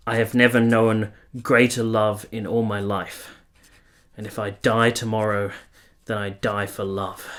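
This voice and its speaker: An actor playing a weary, earnest, lovestruck army officer who narrates a radio play in solemn, literary, confessional language. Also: male voice, on the low side, fairly bright tone. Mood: resolute, impassioned. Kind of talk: radio play, first-person letter narration.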